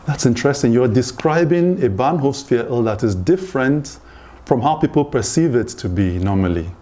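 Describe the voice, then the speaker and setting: A man interviews in a conversational style. His voice is mellow and of average pitch. He is measured and reflective, summarising what he has heard and framing questions carefully. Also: lively intonation. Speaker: interviewer, host.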